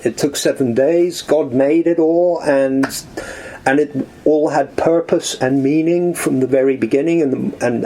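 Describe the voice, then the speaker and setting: A man talking as a podcast guest in a living room, reflective and halting, with frequent restarts as he thinks aloud. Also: medium pitch, dark tone, low-quality recording. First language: English. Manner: thoughtful, halting, many restarts. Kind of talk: reflective conversational interview.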